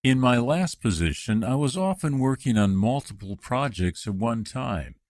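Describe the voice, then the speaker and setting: Guy answering interview questions from a script, lively pitch, dry close sound. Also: normal speed, no echo. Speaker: job candidate in a practice interview. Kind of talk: scripted dialogue for English learners.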